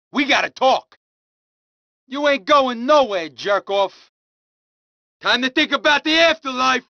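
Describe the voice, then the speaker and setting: Woman doing a brash young mobster guy from a game, all threats and snarls, high bright voice, swings up and down a lot, slow. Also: creaky, no room sound, muffled recording, exaggerated voice. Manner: snarling street-tough threats and taunts. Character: hot-tempered male mob thug, young, brash. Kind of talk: video game combat barks.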